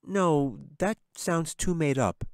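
A man's voice: on the high side, lively intonation, slow, dry recording, clean studio sound, voice pushed up. A man voicing a cheerful, dreamy little girl in an audiobook, speaking sweetly in a sing-song way. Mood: musing. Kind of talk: audiobook character reading.

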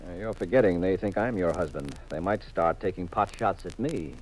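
An actor playing an easygoing, dryly amused detective in a 1950s radio drama. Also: male voice, deep voice, normal speed, a little mellow, rough recording. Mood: reluctant, amused. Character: easygoing, wry male detective, a married man.

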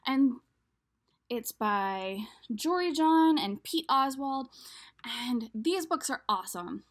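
Higher-pitched woman chatting to kids. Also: expressive, slow. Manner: conversational, speaking directly to young viewers. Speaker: children's storyteller. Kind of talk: casual chat introducing a picture book to children. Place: small room at home, indoors.

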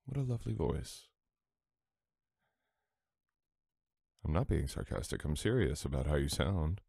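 A man playing a sweet, teasing, affectionate boyfriend in an intimate ASMR roleplay, soft-spoken and unhurried. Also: rumbling low, very animated, gravelly, slightly rough, dry recording, studio quality, full character voice. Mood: playful.

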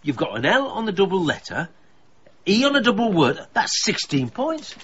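Radio drama: grieving older male widower, homely, wistful, very animated pitch, dull noisy recording. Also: moderate pace. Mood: fond, chatty.

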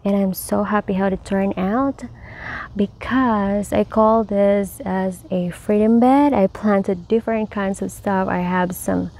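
A woman's voice: medium pitch, fairly even intonation, slow pace, a little mellow. A woman giving a cheerful, chatty tour of her backyard garden, talking to viewers while filming outdoors on a handheld phone. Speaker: home gardener. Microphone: handheld phone camera.

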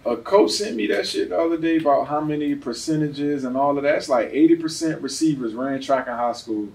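A man's voice: fairly high pitch, a little fast, creaky, darkish tone. Guy doing slangy sports podcast talk in a gym, slightly far from the mic w some noise. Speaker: podcast host. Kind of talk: casual sports podcast chat. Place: indoor gym, podcast set. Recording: noisy, distant mics in gym.

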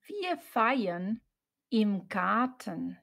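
Woman, language teacher, very slow, lively pitch, phrases repeated twice. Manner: each phrase said twice, clearly split. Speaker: German teacher. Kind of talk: slow repeated example sentences for learners.

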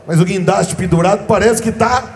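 A man giving lively, praising rodeo commentary, shouting out at the highlights. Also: a little fast. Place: outdoor rodeo arena.

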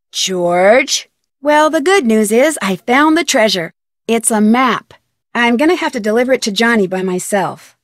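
A young American woman playing a plucky, determined detective in an adventure game, speaking earnestly and clearly. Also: a little high, no room sound, clean studio sound, lightly acted. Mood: earnest, concerned, then matter-of-fact. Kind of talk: scripted game dialogue.

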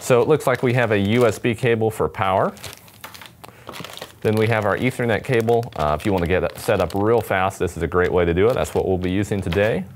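A man's voice: on the low side, brisk, slight background noise. A man in his twenties giving a casual, upbeat product demonstration to camera in an indoor studio. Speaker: product demonstrator for a radio company. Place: indoor studio set with table. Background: background music.

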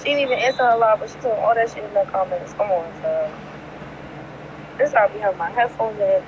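A woman's voice: mid-range voice, a little fast, muffled recording. A young woman with a New York accent mixed with Southern, chatting bluntly in slang and swearing on a casual livestream while reading out comments. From New York, United States. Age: young adult. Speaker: livestream host, rapper. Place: large indoor airport terminal. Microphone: phone at arm's length. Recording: noisy airport terminal, phone livestream. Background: loud terminal ambience.

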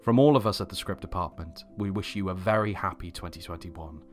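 A man's voice: low voice, a little fast, soft background music. A man reading a scripted podcast outro and credits as the announcer.

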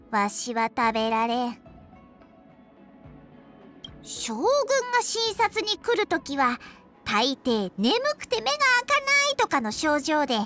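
A woman's voice: sits high, a bit bright. A woman voicing a small, proud, playful dragon girl healer for a video game, talking in an archaic, self-important old-timer's way with a child's voice. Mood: wistful, chatty. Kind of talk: acted video game character voice lines.

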